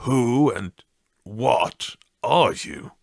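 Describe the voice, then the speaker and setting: A man's voice: medium pitch, animated, drawn-out words, vocal fry, dry recording, studio quality, full character voice. A man voicing an earnest, emotional old man in a dramatised storybook reading. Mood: timid, questioning. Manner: earnest, emotional exclaiming and questioning.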